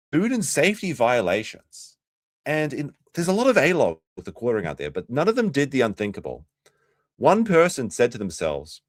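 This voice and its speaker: A man's voice: medium pitch, no echo, clean studio sound. An Australian man hosting a casual livestream, chatty and sarcastic, reacting to clips and laughing often.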